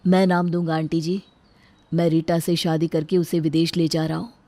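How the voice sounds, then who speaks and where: A woman's voice: low-pitched, level tone, normal speed, dark tone, dry recording. A woman voicing a principled, protective young man in a dramatized moral story. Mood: earnest, reassuring.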